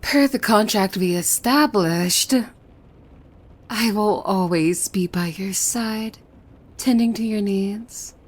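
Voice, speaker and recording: A woman playing a possessive, devoted demon maid in an ASMR roleplay, coy and formal, with a soft breathy voice that often slips into whispers. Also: mid-range voice, slow pace. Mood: devoted, insistent. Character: possessive, devoted young female demon maid, sweetly menacing. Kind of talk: ASMR roleplay, scripted monologue to the listener.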